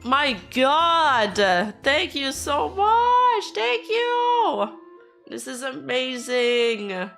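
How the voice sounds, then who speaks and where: A hoarse woman streamer chatting casually and rambling with her viewers, laughing easily. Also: high voice, big pitch swings, drawn-out words, fairly bright tone, soft background music. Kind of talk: casual livestream chat with viewers.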